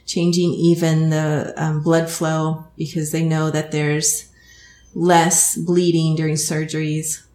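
Texan woman host, lowish voice, chatty explainer, echoey room. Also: flat delivery, takes it slowly, warm tone. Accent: Texas. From Texas. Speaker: hypnotist and podcast host. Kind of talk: explanatory interview. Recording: roomy echo.

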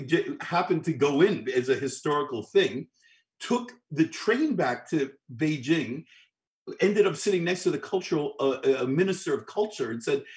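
A middle-aged man from New York spins a long anecdote for an interviewer. His pitch swings widely as he acts out what people said, and it sits a bit higher than most men's. He stumbles and repeats words as he goes. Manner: animated anecdotes with many restarts and repeated words. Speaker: guest, orchestral clarinetist. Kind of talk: storytelling interview.

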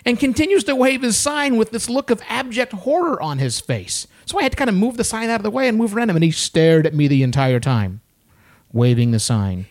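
A man's voice: high-pitched, animated, brisk. A man on a podcast, telling a story in casual chat and acting out the other people's voices as he goes. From United States.

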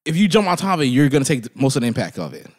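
A man's voice: medium pitch, sing-song, racing. A young man co-hosting a casual chat, rambling through stories and laughing. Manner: rambling, laughing, restarts often.

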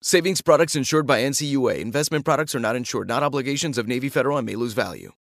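A man's voice: medium pitch, racing. A man giving a scripted sponsor read as an announcer.

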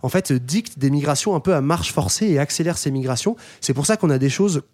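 A man's voice: a little high, lively intonation, a little mellow. A man co-hosting a casual discussion podcast, explaining at length with frequent fillers and restarts. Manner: quick, with many fillers and restarts.

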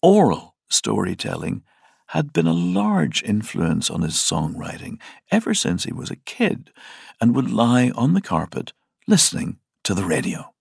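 A man narrates a scripted podcast in a deliberate, explanatory way. His voice is creaky and unhurried, recorded very dry and close. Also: mid-range voice, wildly swooping pitch, dry recording. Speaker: podcast host.

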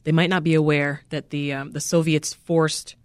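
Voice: mid-range voice, flat delivery, moderate pace, dry recording. Attentive interview host, dry studio sound. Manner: attentive, encouraging. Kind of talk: radio interview.

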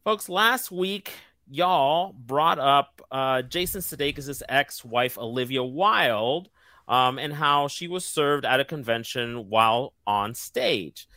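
A man's voice: mid-range voice, lively intonation, slow pace, sharp tone, no echo, studio quality. A young man hosting a podcast fact-check segment, delivering it in an emphatic, sassy way. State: recovering from lost voice. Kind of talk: scripted segment read, lively.

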